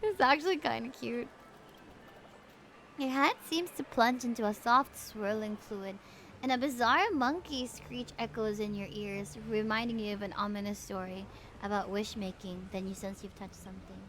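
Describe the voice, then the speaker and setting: A woman's voice: mid-range voice, moderate pace, slight background noise. A woman livestreaming a video game, reading dialogue aloud and reacting casually and expressively. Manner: expressive, reacts with exclamations and laughter.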